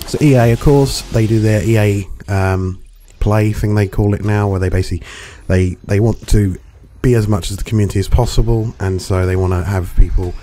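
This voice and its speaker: A hungover man with a gravelly voice and dry throat, rambling through casual, sweary commentary as a gaming reviewer. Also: lowish voice, steady tone, brisk, hardly any pauses, mellow. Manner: rambling, chatty, swears freely. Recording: music playing underneath.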